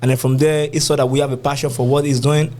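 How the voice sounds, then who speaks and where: A young man with a Nigerian accent speaks as a guest on a music podcast. His talk is colloquial and casual, in a medium-pitched voice. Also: level tone, quick pace, a little mellow. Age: young adult. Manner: colloquial, uses "you know what I'm saying". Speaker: musician being interviewed.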